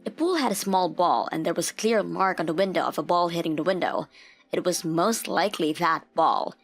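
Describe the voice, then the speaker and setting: A woman's voice: fairly low pitch, a little fast. A woman reading scripted story narration for a manga dub.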